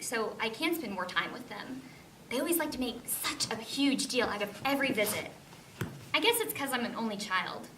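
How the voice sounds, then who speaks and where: A woman acting as an inquisitive young woman in a stage play. She talks in a high, earnest, chatty way, heard from a distance in an echoing, noisy room. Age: young adult. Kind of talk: amateur stage play dialogue and monologue. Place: indoor stage performance, recorded from off. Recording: echoey distant stage recording, loud noise.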